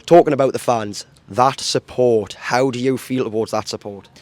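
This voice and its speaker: A man interviewing players for a fan channel pitchside at an outdoor stadium, asking enthusiastic, rambling questions. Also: fairly even intonation, normal speed. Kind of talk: post-match interview.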